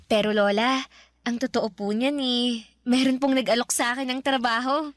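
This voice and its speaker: Young Filipino granddaughter for radio drama woman actor: respectful, hopeful, hesitant, very high-pitched voice. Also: fairly even intonation, normal speed, close to an everyday voice. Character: respectful eighteen-year-old granddaughter, earnest, hopeful, slightly hesitant.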